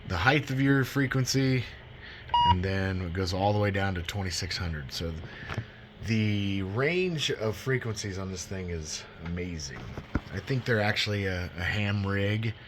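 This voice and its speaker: Male hobbyist demonstrator, lower register, folksy and self-deprecating, off-the-cuff delivery, faint noise behind. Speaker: radio hobbyist demonstrating a used CB radio. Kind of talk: casual unscripted product walkthrough.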